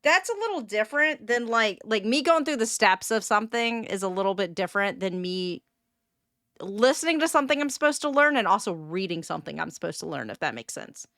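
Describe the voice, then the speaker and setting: A woman's voice: medium pitch, very animated, brisk, fairly bright tone, no room sound. A woman streamer casually reacting to a video, rambling and wry with lots of fillers, on a close mic.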